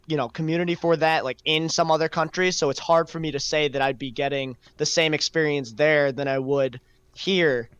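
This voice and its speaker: A man's voice: a little high, fairly even intonation, moderate pace, fairly bright tone, smooth, no room sound. A young man talking casually and reflectively on a podcast, feeling his way through his phrases. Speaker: podcast host.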